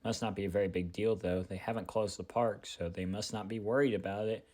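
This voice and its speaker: A man acts out a lovesick park visitor in an audio drama. His voice sits on the low side, casual and conversational, as he leaves a wistful, lonely voicemail. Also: steady tone, fairly quick, darkish tone, natural voice. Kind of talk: acted voicemail message.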